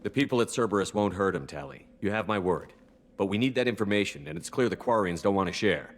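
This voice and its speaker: An actor playing a resolute, blunt space marine commander in a sci-fi game, speaking firmly and directly. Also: male voice, on the low side, animated, fast talker, a little hiss or hum. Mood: firm, reassuring. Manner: firm, direct. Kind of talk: cinematic sci-fi game dialogue.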